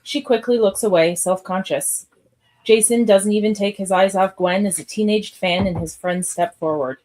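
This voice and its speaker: A woman's voice: medium pitch, normal speed, a little mellow, a little hiss or hum, low-quality recording. A woman narrator reading screenplay stage directions aloud in a scripted live read.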